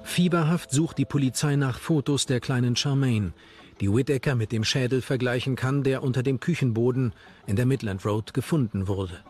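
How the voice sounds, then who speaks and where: A man's voice: mid-range voice, moderate pace, a little mellow. Male true crime documentary narrator.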